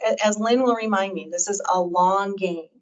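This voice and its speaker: A woman's voice: mid-range voice, moderate pace, far from the mic. A middle-aged woman advocating for child care on a panel, speaking emphatically and earnestly, often with rhetorical questions. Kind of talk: panel discussion. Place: event room with audience.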